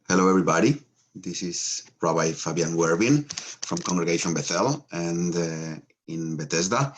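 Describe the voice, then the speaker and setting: Argentine-accented male rabbi, low voice, halting teaching talk with fillers and small jokes. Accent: Argentine Spanish. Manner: joking, halting, many fillers and restarts.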